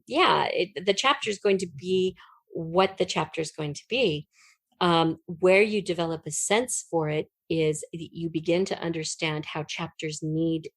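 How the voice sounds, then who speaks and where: Female writer as interview guest, slightly low voice, dry recording, conversational storytelling delivery. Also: no echo.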